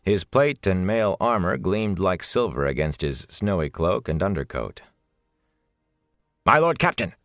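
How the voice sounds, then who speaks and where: A man's voice: low-pitched, lively intonation, moderate pace, hardly any pauses, no room sound. A man reading a fantasy novel as a scripted audiobook narration, close on the microphone.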